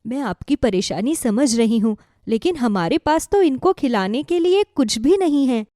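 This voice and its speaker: A woman voicing a worried, practical village wife in an animated moral story, earnest and questioning. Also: high voice, moderate pace, no room sound, studio quality.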